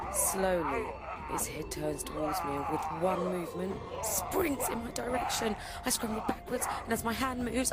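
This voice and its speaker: An actress playing a professional career woman surviving an apocalypse, narrating an audio drama in a deliberate, theatrical way. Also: lowish voice, close to an everyday voice. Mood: tense, fearful. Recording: voice over loud music bed. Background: music.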